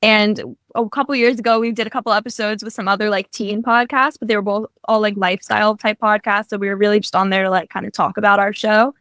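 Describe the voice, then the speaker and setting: A woman's voice: mid-range voice, steady tone, quick pace, few pauses, a bit bright, no echo, clean studio sound. A teenage girl hosting a podcast, introducing the episode in a chatty way. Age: teens. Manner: chatty, many likes. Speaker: podcast host. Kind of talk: casual chat, introducing the episode.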